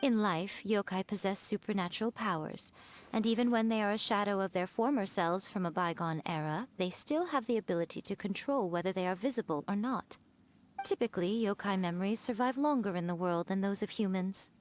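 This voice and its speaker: An actress as an elegant fox shrine maiden from a fantasy game, sly and amused. She speaks at a middling pitch with poised, teasing composure, over faint background noise. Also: a little fast, natural voice. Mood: poised explaining. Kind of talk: video game character acting.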